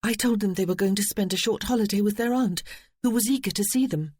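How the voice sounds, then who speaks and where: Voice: medium pitch, fast talker, a little mellow, breathy edge, no room sound, studio quality, voice pushed up, lightly acted. A narrator voicing an anxious young lady companion, explaining in a breathless rush.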